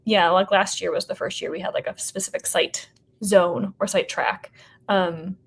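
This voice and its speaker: American woman, kinda creaky voice, chatting informally on a podcast, lots of likes and sort ofs. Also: mid-range voice, fairly even intonation, normal speed. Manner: informal, hedging, frequent "like" and "sort of". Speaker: podcast guest, company representative. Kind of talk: casual interview conversation.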